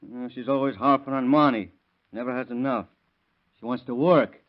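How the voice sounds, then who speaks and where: A man's voice: mid-range voice, normal speed, lightly acted. A man playing a hot-tempered, blunt young father in a 1930s radio crime drama. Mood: blunt, grumbling. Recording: dull lo-fi vintage radio recording.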